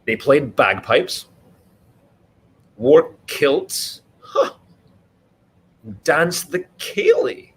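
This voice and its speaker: Expressive man reading to children, swooping pitch. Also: extremely slow, long pauses, husky. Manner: expressive, simple explanatory asides to young listeners. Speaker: author reading own children's book. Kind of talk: read-aloud storytelling for children, interactive.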